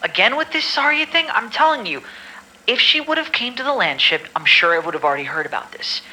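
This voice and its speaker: A man's voice: extremely high pitch, very animated, a little fast, bright, forceful tone, exaggerated voice, rough recording. A man playing an irritable, cynical staff member who records medical files in an amateur audio drama, shifting between dry formal reading and exasperated grumbling.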